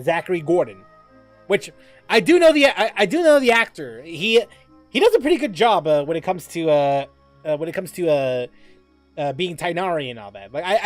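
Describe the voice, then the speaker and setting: High, lively-voiced man streaming a game. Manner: rambling, many fillers and restarts, reads chat aloud. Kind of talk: casual gaming stream chat.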